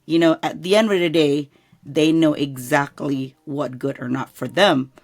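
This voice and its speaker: Gravelly Indonesian-accented woman host. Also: sits low, steady tone. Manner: halting, searching for words, many fillers. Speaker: podcast host. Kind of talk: casual interview chat.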